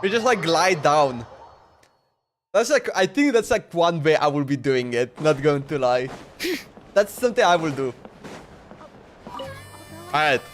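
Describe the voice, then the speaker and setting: Higher-voiced man casually streaming a game. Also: lively intonation, measured pace, pauses often. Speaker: live streamer. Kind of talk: casual gameplay commentary. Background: faint game music.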